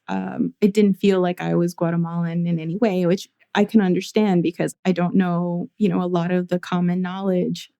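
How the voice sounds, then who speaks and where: A young adult woman from the United States, a therapist speaking as a podcast guest in a thoughtful, measured way with some restarts. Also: on the low side, warm tone, no room sound, clean studio sound. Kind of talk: reflective interview conversation.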